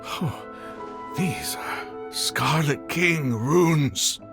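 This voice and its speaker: A touchy guide whose voice swings widely and whose speech drags slowly. He is quick to take offense and just as quick to marvel, with music behind him. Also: a little high, animated, gravelly, heavily acted. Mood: awed. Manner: prickly, theatrical.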